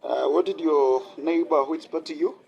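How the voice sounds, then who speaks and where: A man's voice: a little high, steady tone, normal speed, gravelly, husky, muffled recording. A man playing a friendly doctor and counselor in a radio drama, engaging listeners as an interactive guest speaker. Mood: encouraging, interactive. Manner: engaging the audience with questions and thanks. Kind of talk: radio drama motivational talk.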